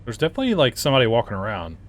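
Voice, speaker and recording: A man gives casual gameplay commentary in a middle-pitched voice. He is laid-back and a little halting as he thinks aloud, with faint noise and game ambience behind him. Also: wildly swooping pitch. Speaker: game reviewer and player.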